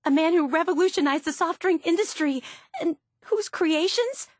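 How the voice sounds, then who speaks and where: A woman's voice: extremely high pitch, moderate pace, no echo, clean studio sound. A woman playing an eager, obsessive young superfan and tour guide in a video game, gushing with earnest, geeky enthusiasm. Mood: hurt, angry. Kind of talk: video game character dialogue.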